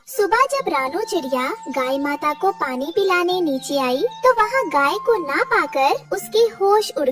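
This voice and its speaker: A woman's voice: high-pitched, recorded from a distance. A woman narrating a cartoon story in a scripted, dramatic style.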